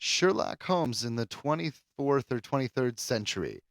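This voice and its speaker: A man's voice: medium pitch, big pitch swings, normal speed, gravelly, no room sound, clean studio sound. A man co-hosting a podcast, commenting in casual, conversational chat. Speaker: podcast co-host.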